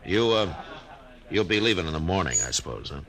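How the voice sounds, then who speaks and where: A man playing a steady, laconic frontier marshal in an old radio western, slow and hesitant with many pauses. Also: sits low, heavily acted. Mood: probing. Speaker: narrator and lead character. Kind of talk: 1950s radio western acting.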